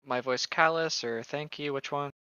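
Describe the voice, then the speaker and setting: A co-host of a read-along who reads aloud and heckles casually, playfully indignant and quick to swear. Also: medium pitch, pushed and bright, smooth, dry recording, highs cut off. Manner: mock-indignant, playful, profane. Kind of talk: casual chat mixed with reading a novel aloud.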